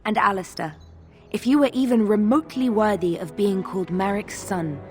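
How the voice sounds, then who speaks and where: A woman plays a haughty fantasy game noblewoman. Her medium-pitched voice is cold, formal and full of disdain, with faint music behind. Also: moderate pace, breathy edge, close to an everyday voice. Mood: scornful. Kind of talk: dramatic fantasy game acting.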